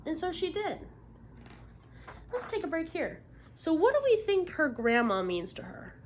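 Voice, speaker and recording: A woman reading a picture book aloud to children like a teacher, expressively, pausing to put encouraging questions to her listeners. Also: very high voice, huge pitch swings, slight background noise. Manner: encouraging, checks understanding with frequent questions. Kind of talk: interactive storybook read-aloud with questions to the listeners.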